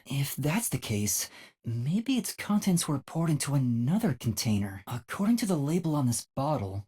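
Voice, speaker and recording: A man playing an anxious, earnest teenage boy detective in a video game, with a soft, youthful, pondering delivery. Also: medium pitch, slightly rough. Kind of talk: video game character acting, often thinking aloud.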